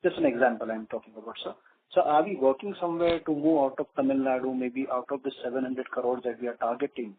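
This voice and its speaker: A man's voice: medium pitch, quick pace. A man, an investor on an earnings call, wordy and full of praise, with many restarts and fillers. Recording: phone-line call audio with faint noise.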